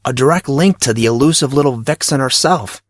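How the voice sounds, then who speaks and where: A teasing, self-assured teenage lad acted by a male reader for a storybook, lifted a few semitones above a grown man's pitch. He talks in casual slang with a knowing air, recorded very dry. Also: fairly quick, dry recording, natural voice. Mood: gossipy, sympathetic. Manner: casual, knowing, uses dude and bro. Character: smug, slangy teenage boy, teasing best friend.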